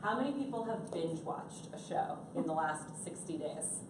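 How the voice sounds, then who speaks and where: A woman's voice: lowish voice, expressive, normal speed. A woman in her thirties giving a chatty, upbeat workshop talk to a live audience without a microphone. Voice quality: loud, projecting voice. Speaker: conference presenter. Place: conference room with an audience. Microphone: no personal mic, recorded from a distance. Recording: echoey room from far off, noisy.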